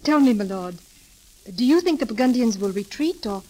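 A woman's voice: on the high side, animated, normal speed, mellow, poor audio. An actress playing a refined, earnest young lady-in-waiting in a romantic 1930s radio drama. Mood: poised.

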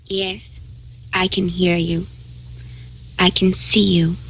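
An actress playing a gentle, subdued daughter in an old-time radio drama, speaking in a hushed, apologetic manner. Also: female voice, lowish voice, level tone, takes it slowly. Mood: sad, subdued. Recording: muffled, noisy old-time radio recording.

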